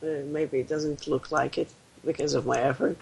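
A man who is ill, talking in a halting way as a podcast guest and searching for words. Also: fairly high pitch, fairly even intonation, vocal fry, slight background noise, poor audio. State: ill, voice lower than usual. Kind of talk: casual podcast chat.

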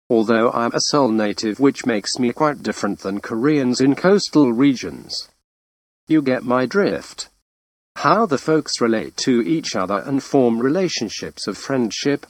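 A man's voice: mid-range voice, dry recording. Man reading a scripted film review. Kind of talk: scripted movie review narration.

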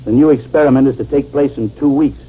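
A man's voice: mid-range voice, steady tone, fast talker, slightly rough, close to an everyday voice. Casting: male actor as authoritative army general, mid-range voice, 1950s science fiction radio play, dull hissy recording. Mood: urgent, dutiful. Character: brisk commanding male army general, gentle with the sick. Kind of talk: 1950s radio drama acting.

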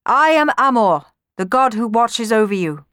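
A woman's voice: on the high side, expressive, moderate pace, a bit bright, smooth, no room sound, studio quality, voice pushed up. A woman voicing a benevolent, cheerful male god of love in a storybook, commanding yet playful.

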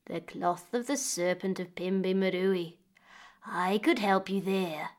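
A woman voicing a frail, quiet and knowing old beggar woman, lower and softer than her narration. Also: steady tone, takes it slowly, lightly acted.

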